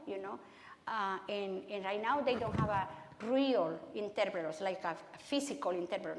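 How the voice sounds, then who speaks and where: A woman with a Spanish accent speaks to a church audience in a conversational, halting way and often starts her sentences again. Her voice sits at a middle pitch. The hall around her echoes, and the reverb rings on after each phrase. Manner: conversational, halting, many restarts. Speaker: guest speaker.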